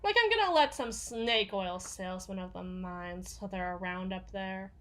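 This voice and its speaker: A woman's voice: medium pitch, normal speed, a bit bright, natural voice. A woman playing a tough, foul-mouthed, guarded bodyguard for a villain in an ASMR roleplay, with a sardonic, slangy delivery. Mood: bitter, defensive. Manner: sardonic, swearing, casual slangy drawl. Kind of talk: ASMR roleplay, acted monologue.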